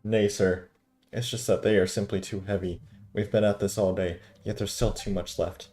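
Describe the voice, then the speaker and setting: A man's voice: lowish voice, little pitch movement, moderate pace, a little mellow, lightly acted. A man voicing a weary, deferential soldier underling who complains respectfully, reading video game dialogue. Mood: weary pleading.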